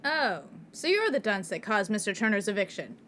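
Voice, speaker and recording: A woman's voice: medium pitch, very animated, normal speed, a bit bright, slight background noise. A woman playing a prim young landlady, dry and dismissive, in a comedy radio play. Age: young adult.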